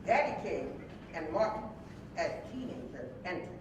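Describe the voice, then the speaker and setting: A high-voiced man reads stage directions with lively intonation. He sounds distant in a very echoey hall as he delivers short scripted narration. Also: moderate pace, noisy background.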